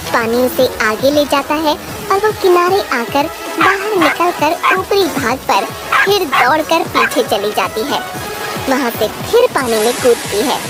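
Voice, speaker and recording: A woman tells a story as a scripted dramatic narration. Her voice is high and swings animatedly in pitch. Music plays clearly behind her.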